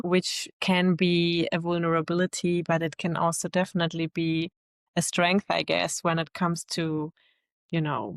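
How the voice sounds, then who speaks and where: A woman, a guest in a casual interview, thoughtful and quick to laugh. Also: fairly low pitch, clear, resonant tone, dry recording, studio quality. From Germany. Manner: laughs easily, thoughtful, reflective. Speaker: guest, LinkedIn expert.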